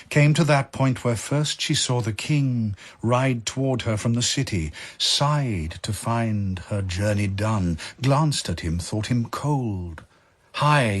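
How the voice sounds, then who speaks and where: A man narrating a dramatic verse tale in a husky voice, as scripted storytelling. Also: medium pitch. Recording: music behind the voice. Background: music.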